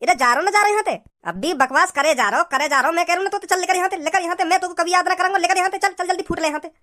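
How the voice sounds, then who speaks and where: A man playing a scolding, preachy village father in a comedy cartoon, with a pitched-up voice. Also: rapid-fire, sharp tone. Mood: irritated. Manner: preachy, repetitive, hectoring.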